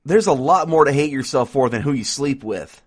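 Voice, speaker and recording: A man's voice: medium pitch, animated, normal speed, close to an everyday voice. A man playing a wry, easygoing young college student in casual audio drama dialogue. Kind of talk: casual conversational drama acting.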